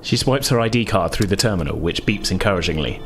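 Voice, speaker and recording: A man's voice: sits low, animated, fast, noisy background. A man narrating a tabletop roleplaying game as game master, descriptive and conversational.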